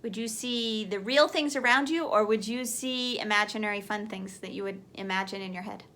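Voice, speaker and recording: A woman's voice: mid-range voice, moderate pace, pushed and bright. A woman teacher reading a children's picture book aloud, expressively, as if putting questions to young listeners.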